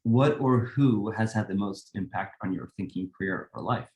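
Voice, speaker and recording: A man from Sweden hosting a podcast, asking interview questions in a relaxed, friendly way. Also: on the low side, normal speed. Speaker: podcast host.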